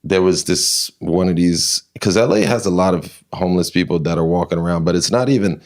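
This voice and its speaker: Brief: male interview guest from New York, very low voice, chatty storyteller, loose with fillers. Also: a little fast. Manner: animated retelling, many fillers and restarts. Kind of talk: casual interview, personal storytelling.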